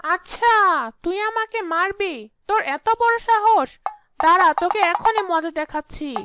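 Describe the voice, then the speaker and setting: Very shrill, bossy witch wife. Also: animated, a little slow, bright, forceful tone, no echo, exaggerated voice. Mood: angry, threatening. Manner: scolding, threatening, imperious. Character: fierce, bossy witch possessing a young village wife. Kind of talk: animated fairy-tale voice acting.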